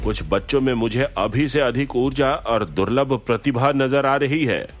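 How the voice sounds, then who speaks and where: A man voicing a calmly firm village clan head with formal, measured authority in an audio story. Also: mid-range voice, soft background music, lightly acted. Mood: firm, assured.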